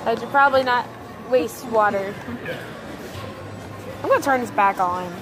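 A young girl with a slightly raspy voice, chattily reviewing toys on a handheld phone in a big store, often distracted. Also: on the high side, measured pace, over music, a crowd in the background. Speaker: vlogger. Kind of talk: casual toy review vlog. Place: large indoor retail store. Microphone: handheld phone camera.

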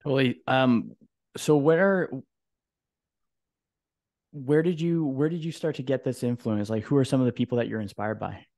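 A man in his thirties hosting a podcast, asking measured questions with some "uh" restarts, into a studio mic in a home room. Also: medium pitch, darkish tone. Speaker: podcast host. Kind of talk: interview questions. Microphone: studio mic with headphones.

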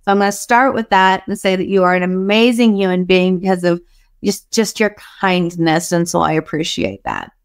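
A woman's voice: fairly low pitch, lively intonation, moderate pace, clean tone. A woman podcast host chatting in a friendly, effusive and enthusiastic way with a guest over a video call. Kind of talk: friendly interview chat. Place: small home office, indoors.

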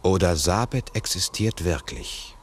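Casting: low-voiced analytical man, formal and deliberate, 1960s radio play. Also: lively intonation, husky, full character voice. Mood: pondering. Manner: deliberate, reasoning aloud. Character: sceptical, analytical male investigator, methodical and formal. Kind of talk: 1960s radio drama acting.

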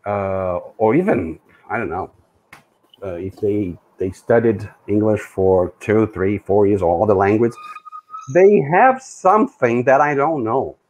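A middle-aged man from Brazil, a native Portuguese speaker, talking hesitantly on a video call with many pauses. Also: fairly low pitch, darkish tone, poor audio. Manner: hesitant, many fillers and restarts. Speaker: co-host, language learner. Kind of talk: casual video call chat. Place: room indoors.

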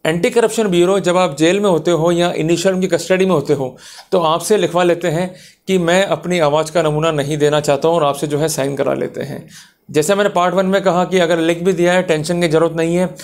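An Indian man in his thirties speaks in a voice pitched a little above average. He explains like a lecturer, stressing and restating his key points in a didactic way. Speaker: lawyer giving a lecture. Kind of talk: explanatory legal lecture to camera. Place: small office, indoors.

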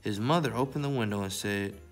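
A man's voice: on the low side, a little fast. A man hosting a library story time, presenting to children in the manner of a read-aloud and simple how-to.

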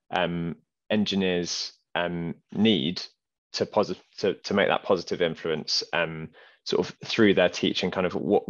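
A man's voice: sits low, dull audio. A young man moderating a panel discussion over a video call on a headset, speaking hesitantly with many restarts and fillers.

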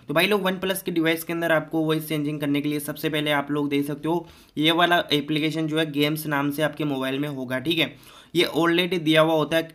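Young male, slightly high voice; informal tech YouTuber walking viewers through steps. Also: fairly bright tone. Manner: informal, repeats filler phrases, addresses viewers as friends. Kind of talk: casual step-by-step phone tutorial.

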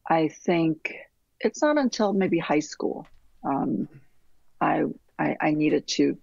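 A middle-aged woman from Taiwan, an architect answering interview questions in a thoughtful way, with many restarts and soft trailing fillers, heard over a video call. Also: deep voice, lively intonation, slow pace, vocal fry, slightly rough, no echo, muffled recording. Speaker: architect guest. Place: home room with bookshelves, remote call.

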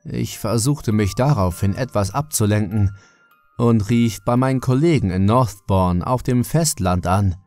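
A man narrates a horror audiobook in the first person. His voice is on the low side and his pace is very slow and measured, with music playing underneath. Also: darkish tone.

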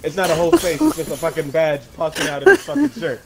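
A man's voice: on the high side, big pitch swings, fast talker, a crowd in the background. A man chatting casually and reacting in a giggly, rambling way with swearing.

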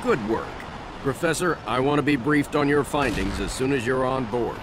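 An actor playing a calm, commanding starship captain in a video game cutscene. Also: male voice, mid-range voice, normal speed, heavy background noise, close to an everyday voice. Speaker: ship captain. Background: music.

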